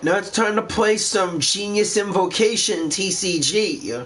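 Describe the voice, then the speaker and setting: Man, high-pitched voice, casual let's play host, reads game text aloud, faint background noise. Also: measured pace, a bit bright. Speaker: gameplay walkthrough host.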